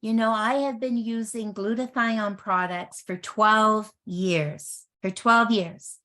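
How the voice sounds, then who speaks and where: A woman in her early sixties giving a motivational health product presentation, speaking emphatically and deliberately and repeating phrases for effect. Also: mid-range voice, fairly even intonation, bright, forceful tone. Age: early 60s.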